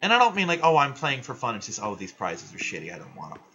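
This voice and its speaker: A young man ranting loudly and swearing in casual chat while he plays a video game. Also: medium pitch, wildly swooping pitch, fast talker, muffled recording, faint music behind. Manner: loud, ranting, frequent swearing. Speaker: gaming vlogger.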